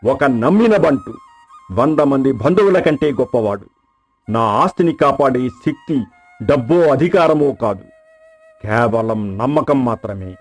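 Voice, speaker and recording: A man's voice: medium pitch, animated, a little slow, faint music behind. An actor playing a dying, wealthy old landlord in a folk tale, solemn, grateful and fatherly. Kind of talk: dramatised folk tale storytelling.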